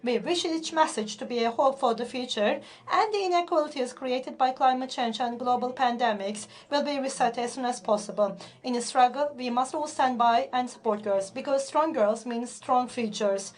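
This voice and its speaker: A teenage girl hosts and reads closing remarks in a formal, careful way. Her voice sits a little on the high side. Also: normal speed, hardly any pauses. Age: teens. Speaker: conference host.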